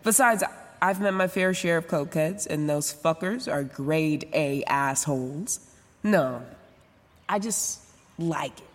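A woman's voice: low voice, slow, in a large hall. An actress playing a frank, foul-mouthed young woman, newly sober, rambling through a confessional audio drama in sarcastic slang. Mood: frank, defensive. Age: young adult. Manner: rambling, self-interrupting, sarcastic, casual slangy talk. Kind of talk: confessional first-person audio drama narration.

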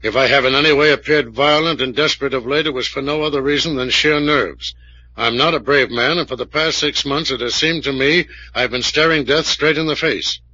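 Police captain, authoritative, official, husky forceful voice, old radio drama. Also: male voice, mid-range voice, brisk, no echo. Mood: reading aloud gravely. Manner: official, firm. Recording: muffled vintage radio recording.

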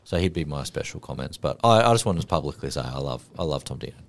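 A man's voice: very deep voice, expressive, fast. A man co-hosting a sports podcast, talking casually and earnestly about the team's players. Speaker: podcast co-host.

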